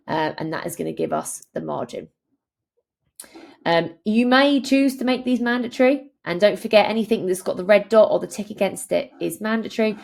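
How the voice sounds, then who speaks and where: A woman in her thirties presenting a software tutorial as a conversational, step-by-step walkthrough. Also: mid-range voice, creaky.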